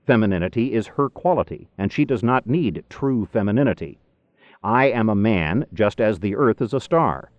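Male narrator for scripted philosophy, very animated intonation, deliberate pauses, close dry sound on lo-fi tape. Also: fairly low pitch, no echo. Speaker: audiobook reader. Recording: dull, lo-fi cassette tape recording.

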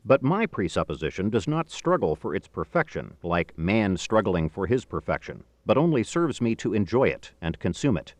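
Man, middle-aged, low voice, expressive swooping intonation, formal audiobook narration, measured and emphatic. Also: dry recording. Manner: measured, emphatic, formal delivery with deliberate phrasing. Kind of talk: scripted audiobook reading of a philosophy book.

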